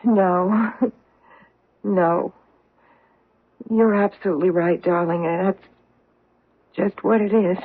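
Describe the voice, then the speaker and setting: A guarded, hesitant wife. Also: female voice, medium pitch, fairly even intonation, slow, pauses often, slightly rough. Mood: domestic. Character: troubled middle-aged wife hiding a secret. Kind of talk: 1970s radio drama acting. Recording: tinny old radio broadcast.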